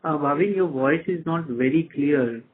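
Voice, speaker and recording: A man with an Indian accent and a husky voice, answering analysts on an earnings call as a company executive, hesitantly and with repeated phrases. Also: a little high, moderate pace, a little hiss or hum. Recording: muffled narrow phone conference line.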